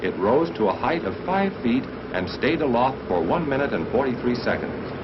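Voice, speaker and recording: An American man narrating a mid-20th-century military training film in a formal, measured, instructional manner, on an old film soundtrack. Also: mid-range voice, sing-song, highs cut off, background noise.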